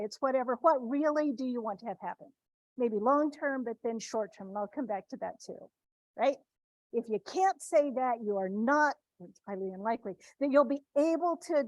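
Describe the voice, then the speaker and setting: A woman's voice: high-pitched, a little mellow, no room sound, rough recording. A woman from the Midwest giving an informal talk to students, speaking conversationally with frequent restarts and tag questions.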